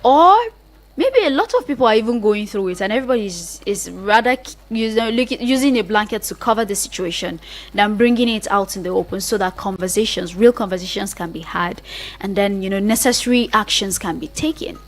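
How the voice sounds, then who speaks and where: A woman's voice: mid-range voice, expressive, brisk. A woman with a Nigerian accent presenting an explanatory radio talk in an earnest, emphatic manner, often repeating phrases to list her points.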